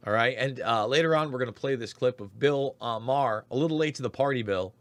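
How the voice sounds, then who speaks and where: A hoarse man with a raspy voice, hosting a show of emphatic political commentary. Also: medium pitch, quick pace, dry recording. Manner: emphatic, ranting delivery. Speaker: host.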